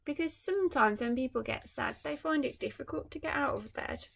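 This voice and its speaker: A woman teacher reading a storybook aloud to children, adding gentle, musing asides to her young listeners. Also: sits high, fairly quick, creaky, a little hiss or hum. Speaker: primary school teacher.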